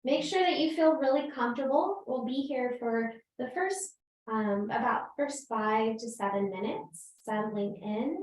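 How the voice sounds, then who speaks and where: A woman yoga teacher guiding a restorative class in a gentle, unhurried, invitational way. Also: on the high side, a little hiss or hum. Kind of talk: slow guided restorative yoga instruction. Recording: roomy echo, distant microphone.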